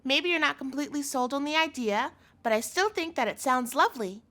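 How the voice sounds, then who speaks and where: Yandere girlfriend, played by a woman, audio roleplay. Cloying, controlling devotion; high-pitched, very animated delivery, pleading and doting. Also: brisk. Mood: amused, possessive. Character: sweetly possessive yandere girlfriend, a doting chef captor.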